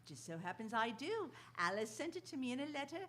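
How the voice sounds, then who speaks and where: A woman acts out a helpful London housekeeper in a radio play. She is chatty and politely deferential, with a fairly high voice that rises and dips freely. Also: sing-song, quick pace, a bit bright, a little hiss or hum. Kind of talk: theatrical radio drama acting.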